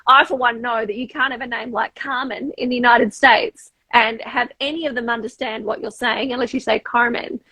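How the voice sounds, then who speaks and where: Podcast host: woman with a broad Australian accent, high husky voice, dry sound. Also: steady tone, normal speed, hardly any pauses, sharp tone, dry recording, poor audio. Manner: emphatic, often tags "right?". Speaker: podcast host, media coach. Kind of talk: casual podcast chat.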